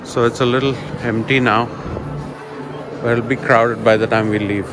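A man giving relaxed, chatty commentary while walking through a large indoor shopping mall, filming on a handheld phone. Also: mid-range voice, normal speed, music in the background. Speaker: vlogger. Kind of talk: casual walking vlog narration. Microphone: handheld phone camera.

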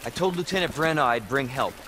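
An actor playing an earnest, dogged young rookie cop in a video game, with an American accent. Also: male voice, mid-range voice, animated, fast, background noise, lightly acted. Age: young adult. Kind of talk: video game cutscene acting.

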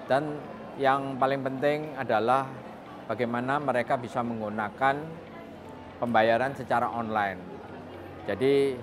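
A man giving a formal, measured interview statement as an official. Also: medium pitch.

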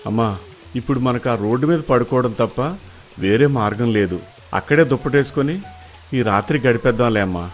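A man playing a poor, devoted young village son in an animated moral story, earnest and pleading. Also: mid-range voice, brisk, soft background music, lightly acted. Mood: consoling.

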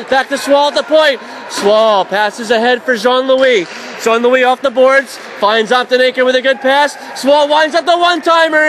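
A young man calls hockey play-by-play for college radio from a press box. His voice sits high and carries over loud arena noise. He reels off passes and shots quickly and lifts into shouts when goals go in. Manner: rapid excited calls rising to shouts on goals. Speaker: play-by-play announcer.

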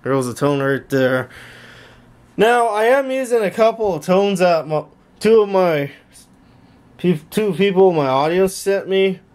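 High-pitched man giving a casual home tour. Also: animated, measured pace, darkish tone. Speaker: host of a hobby tech vlog. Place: small home room, indoors. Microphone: handheld camera held by the speaker.

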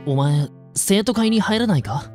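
A woman voices a teenage boy serving as student council secretary in an amateur drama. He sounds earnest and a bit stiff, in a medium-pitched voice over music. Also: lively intonation, measured pace, a little mellow, lightly acted.

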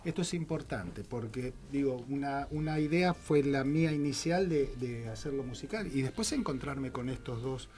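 A man's voice: medium pitch, animated, normal speed, few pauses, a little mellow. A middle-aged man with an Argentine accent, a playwright guest talking hesitantly and reflectively in a radio interview. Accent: Argentine Spanish. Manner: reflective, hesitant, many fillers. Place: small radio studio. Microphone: studio microphone with headphones.

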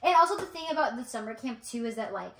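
A woman's voice: a little high, animated, fast talker, fairly bright tone, slight background noise. A young American woman chatting casually and telling stories in a rambling, giggly way, with frequent "like". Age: young adult. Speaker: vlogger co-hosting with a friend. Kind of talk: casual chat, storytelling. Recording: roomy indoor echo.

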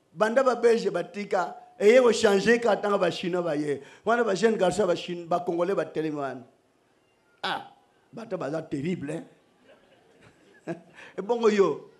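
Middle-aged male preacher, very high voice, lively, joking, shouts at times, stand mic, outdoor stage. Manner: animated, joking, switching between shouting and soft asides. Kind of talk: sermon. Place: outdoor church stage under a canopy. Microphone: stand microphone.

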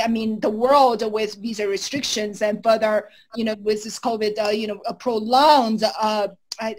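A woman hosting and interviewing in a conversational style on an indoor video call. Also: medium pitch. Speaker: interviewer, host.

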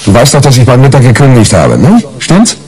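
An actor playing a brash, hungover reporter in a 1980s radio crime drama, colloquial, blustery and hesitating. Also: male voice, mid-range voice, huge pitch swings, a little fast, a little mellow. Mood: angry, taunting. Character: brash, hungover, cynical male reporter, scheming and combative. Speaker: lead actor and narrator in a crime radio play.

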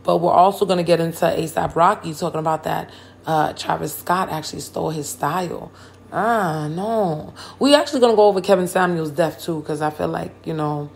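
A hoarse woman hosting a hip hop and politics podcast, talking casually and full of slang and hype. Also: fairly low pitch. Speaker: podcast host. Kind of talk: casual chat.

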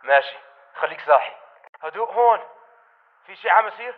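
A wary militant on guard in a war game, in a high voice with a Levantine accent. He fires off terse lines quickly, and the sound is dull and muffled. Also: animated. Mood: watchful. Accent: Levantine Arabic. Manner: clipped, terse. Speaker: enemy soldier voice in a shooter game. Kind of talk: patrol chatter, short barks.

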